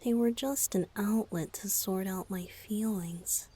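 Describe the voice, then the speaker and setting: A woman voicing a shy, flustered boyish lover in an intimate ASMR romantic roleplay, speaking softly and breathily with hesitant, halting phrasing. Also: medium pitch. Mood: embarrassed, soft. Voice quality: soft, breathy, often whispered.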